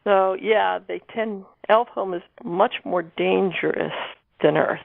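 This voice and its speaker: A woman with a heavily fried, low-pitched voice speaks as a guest author. She explains things casually and haltingly, thinking as she goes. The sound is thin and phone-like, with a dry, close feel. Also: vocal fry, no room sound. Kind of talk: casual interview talk explaining fiction. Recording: muffled narrow phone-like line.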